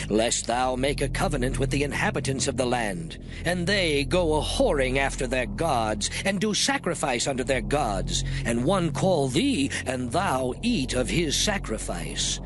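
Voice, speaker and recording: An actor playing Moses as a stern male prophet, declaiming laws solemnly over loud background music. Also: a little high. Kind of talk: dramatized scripture reading. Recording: studio audio drama with loud music behind.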